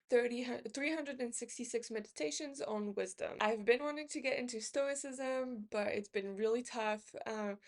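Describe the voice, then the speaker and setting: A woman who speaks English as a second language, chatting casually to camera as a book vlogger. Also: on the high side. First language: not English. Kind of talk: casual chat to camera about books.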